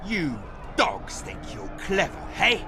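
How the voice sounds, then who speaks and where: An actor playing a cruel, gloating master of a fighting pit in a fantasy video game, with a menacing, taunting, theatrical delivery. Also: male voice, sits high, big pitch swings, takes it slowly, music in the background, exaggerated voice. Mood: threatening.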